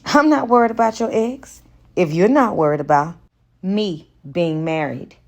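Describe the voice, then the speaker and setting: A woman's voice: lowish voice, normal speed, lightly acted. A woman reading the lines of a confident, flirtatious married businessman in an audiobook romance. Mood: confident, teasing.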